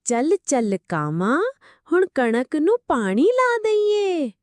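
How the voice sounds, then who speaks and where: A woman's voice: high-pitched, sing-song, slow pace, no room sound, studio quality, pitched above the performer's own voice, exaggerated voice. A woman voicing an innocent, hardworking little female sparrow, urging in simple repeated calls for a children's story. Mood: eager urging.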